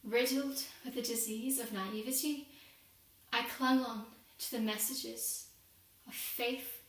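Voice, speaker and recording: A woman's voice: on the high side, fairly even intonation, a bit bright. A young woman playing a guilt-ridden, devout working woman of the industrial era in a solemn, formal confessional monologue. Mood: regretful. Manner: solemn, deliberate, formal oratory. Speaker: student performer. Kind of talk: dramatic monologue, confessional prayer. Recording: very echoey room, distant microphone.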